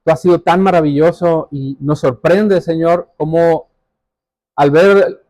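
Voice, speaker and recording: Guy giving a church sermon, pretty high voice, repeats stuff for emphasis, very dry sound. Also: steady tone, unhurried, darkish tone, dry recording. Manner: exhorting, repeats phrases for emphasis. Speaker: preacher. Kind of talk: church sermon with scripture reading and prayer.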